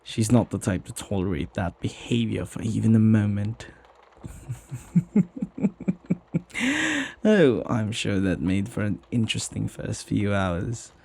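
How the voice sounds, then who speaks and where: A man playing a flirty, devoted butler in an intimate ASMR roleplay, with genteel, formal phrasing and a husky voice. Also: lowish voice, slow, long pauses, darkish tone, exaggerated voice. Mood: gossipy, amused. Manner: formal, genteel phrasing with teasing familiarity and sighs. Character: flirty, gossipy, devoted butler, jealously protective of master.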